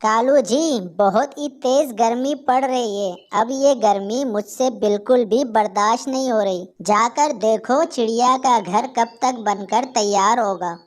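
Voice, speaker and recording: A woman's voice: on the high side, unhurried, hardly any pauses, close to an everyday voice. A woman voicing a bossy, scheming crow wife in a cartoon, scolding and commanding. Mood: scheming, demanding.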